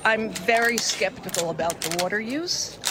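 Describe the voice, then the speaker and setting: A woman's voice: mid-range voice, moderate pace, noisy background. A woman, a local resident, giving her view in a spoken news interview. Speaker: local resident at public meeting.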